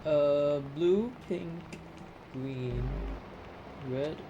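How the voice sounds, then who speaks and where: A man's voice: fairly high pitch, very slow, highs cut off, noisy background. A man giving casual, slightly hesitant live commentary as he plays through a video game speedrun. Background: video game music.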